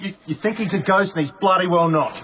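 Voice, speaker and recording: An actor playing a hot-headed, disbelieving tunnel worker in a radio drama, blunt, mocking and agitated. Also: male voice, high voice, fast, a crowd in the background, lightly acted. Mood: agitated, defiant. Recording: tinny band-limited radio broadcast.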